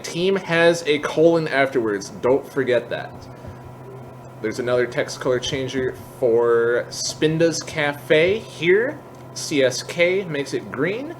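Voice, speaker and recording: A man with a medium-pitched voice hosts a software walkthrough. He explains each step casually and off the cuff, correcting himself along the way. There is noticeable background noise under his voice. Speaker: tutorial host. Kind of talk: unscripted software tutorial walkthrough.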